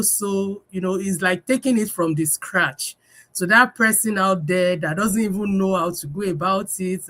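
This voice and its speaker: A woman from Nigeria, hosting a live business talk stream over a video call and speaking with frequent fillers. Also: fairly low pitch. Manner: warm greetings, many fillers, laughs often. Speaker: host.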